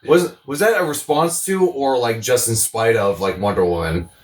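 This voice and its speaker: A man's voice: medium pitch, moderate pace, roomy sound, slight background noise. A man co-hosting a podcast in casual comedic banter, with a sardonic, sweary way of talking. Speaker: podcast co-host. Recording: slightly distant from the mic.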